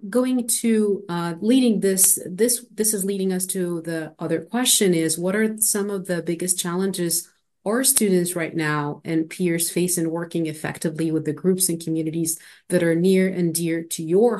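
A woman co-host from Egypt speaks over a webcam in a somewhat low voice. She asks her questions haltingly, filling pauses with "uh" and "um" as she gathers her thoughts. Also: fairly even intonation, a little mellow. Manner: halting, many "uh" and "um" fillers. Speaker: podcast co-host. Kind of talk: interview questions over video call. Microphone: video call webcam.

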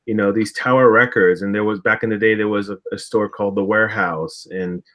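A man in his forties reminiscing in a measured, casual way as a guest on a video call from a room of collectibles. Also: lowish voice, steady tone, sharp tone. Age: 40s. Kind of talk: casual discussion.

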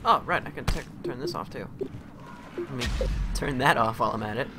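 A man chatting casually with friends while streaming an online shooter game, excitable and quick to shout. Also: medium pitch, very noisy. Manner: excitable, shouts and laughs during play. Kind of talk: casual gaming chat.

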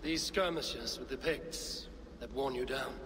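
Guy doing a serious viking warrior for a game, blunt n measured, medium pitch, noisy ambience behind. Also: natural voice. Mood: concerned. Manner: grave, measured, frank. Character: stoic, blunt young male Viking warrior leader. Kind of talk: dramatic video game acting.

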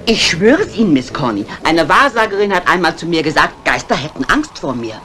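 An actress playing a talkative, excitable maid with dramatic delivery in a 1950s German radio mystery. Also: female voice, deep voice, a little fast. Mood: eager, boastful. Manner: dramatic, eager storytelling. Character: talkative, excitable lady's maid, boastful yet easily frightened. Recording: noisy old 1950s radio recording.

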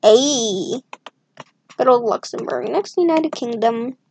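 A woman's voice: on the high side, expressive, slow pace, a little mellow, slight background noise. A woman talking to herself in casual, rambling commentary as she draws a map on screen, with jokey asides. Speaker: map-making video creator. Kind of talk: casual commentary while drawing.

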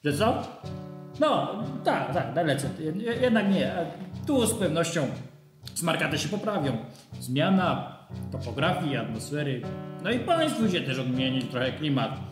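A man's voice: fairly high pitch, sing-song, unhurried. A man playing a pushy, fawning bureaucrat neighbour in a radio drama, talking in a wheedling way. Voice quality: chatty, wheedling. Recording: roomy echo with music behind.

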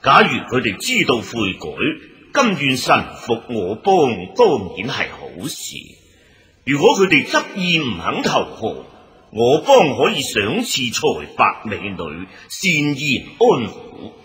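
A man's voice: mid-range voice, huge pitch swings, slow, sharp tone, exaggerated voice. An actor playing a scheming court chancellor in a radio drama, formal and oratorical, drawing out his phrases. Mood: smoothly persuasive. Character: scheming, smooth-talking imperial chancellor urging appeasement. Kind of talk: Cantonese radio drama acting.

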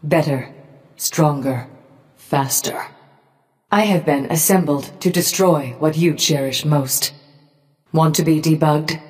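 An actress voicing a cold, cruel robotic Medusa in a video game, with a deliberate, threatening delivery. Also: female voice, deep voice, darkish tone. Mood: cold menace. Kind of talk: scripted video game voice lines. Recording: long reverb tail.

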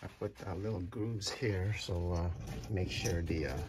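A middle-aged man giving a casual walkthrough of his home-built project, halting with many fillers and restarts. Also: low voice, fairly even intonation. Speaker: DIY builder. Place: home room with sim cockpit, indoors.

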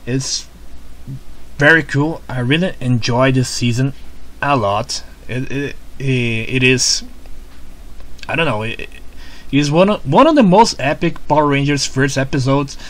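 A Brazilian man with a Brazilian Portuguese accent, reviewing a show in a casual, excitable way, stumbling over repeated words and tagging sentences with "né?". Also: medium pitch, a little slow, long pauses.

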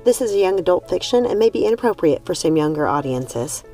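A woman reading a young adult storybook aloud as an expressive audiobook narrator. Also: lowish voice, fairly quick, soft background music. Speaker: audiobook reader.

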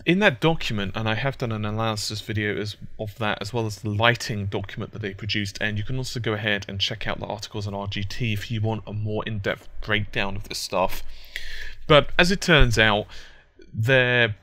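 A man's voice: fairly low pitch, fairly quick, sharp tone, no echo. A man hosting a gaming tech news channel, explaining hardware in a rambling, self-correcting chat, a little congested from hay fever. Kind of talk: casual tech commentary over gameplay.

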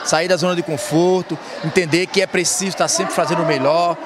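A man's voice: fairly high pitch, fairly even intonation, fairly quick. A man in his thirties from northeastern Brazil, a former athlete turned motivational speaker, answering an interview earnestly into a handheld mic in a school gym full of students. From Rio Grande do Norte, Brazil. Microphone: handheld reporter mic. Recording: loud crowd noise in a gym.

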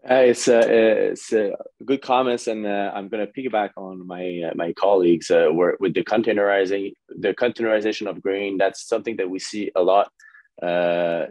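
A man's voice: lowish voice, fairly even intonation, moderate pace, a little mellow, no echo. A man, a port executive answering on a video call panel, hesitant with many fillers and restarts.

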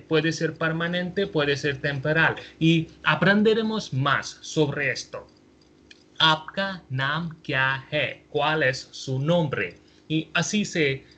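Slow, husky Indian man teaching. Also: fairly high pitch, fairly bright tone. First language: Hindi. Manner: repeats each phrase patiently, drawing out words. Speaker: language teacher. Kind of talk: slow spoken language lesson. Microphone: voice-over over slides.

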